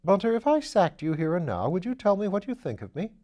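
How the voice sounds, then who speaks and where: An excitable upper-class gentleman sleuth, as a male reader puts him on, pitched well above the reader's own voice. He is chatty, playful and theatrical, a whimsical audiobook character. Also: quick pace, dull audio.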